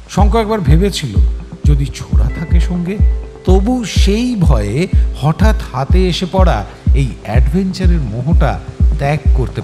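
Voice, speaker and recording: A male storyteller reads with suspense and drama, his fairly high voice swinging widely in pitch. He performs the scripted tale expressively, giving questions an acted edge. Music plays clearly behind him. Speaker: audio story narrator. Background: clear background music.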